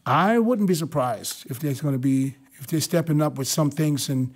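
A man's voice: a little high, moderate pace. Insistent middle-aged man on a video call. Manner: insistent, repeats himself while explaining. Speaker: podcast host. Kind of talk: casual group chat on a video call.

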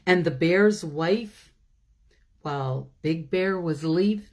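Older female storyteller for children's picture books, very unhurried, chatty, question-asking delivery. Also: lowish voice, a little mellow, husky. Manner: slow, asks listeners questions. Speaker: pastor hosting children's story time. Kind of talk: animated children's storybook reading with chatty asides. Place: small room at home, indoors. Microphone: phone or webcam at arm's length.

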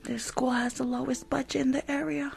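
A woman's voice: high-pitched, little pitch movement, a little fast, gravelly, darkish tone. A young woman with a New York accent does an ASMR roleplay as a rude school nurse, speaking close to the microphone in a curt, sassy, dismissive way. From Bronx, New York. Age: young adult. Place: small room at home. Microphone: close desk microphone with fluffy cover.

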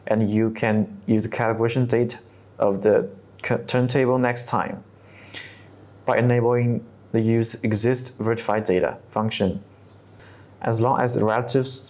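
A man in his thirties narrating a scripted software tutorial step by step, with non-native English phrasing. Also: on the low side, steady tone, moderate pace. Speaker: tutorial presenter.

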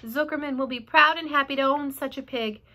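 A woman voicing a wise, gentle female spider who speaks slowly and soothingly. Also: high voice, steady tone, voice pushed up.

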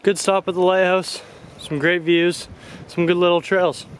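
A man's voice: high-pitched, level tone, measured pace, a little hiss or hum. A young man in a casual travel vlog, chatting upbeat to a camera held at arm's length while hiking outdoors. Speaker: travel vlogger. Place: outdoors on trails and coastal lookouts.

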